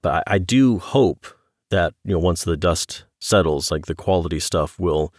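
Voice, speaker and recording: Male podcast host, middle-aged. Low-pitched, dry close-mic sound, conversational interviewer. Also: moderate pace, a little mellow, no room sound. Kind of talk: casual interview chat.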